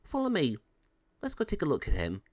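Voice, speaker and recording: A man putting on a brisk, chirpy voice for a male dog pound officer, talking fast and cheerfully. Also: on the high side, huge pitch swings, no room sound, voice pushed up. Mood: cheery.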